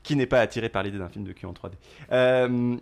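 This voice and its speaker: A man's voice: mid-range voice, a little fast, vocal fry. A young man hosting a casual chat show, joking and rambling with many restarts. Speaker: podcast host.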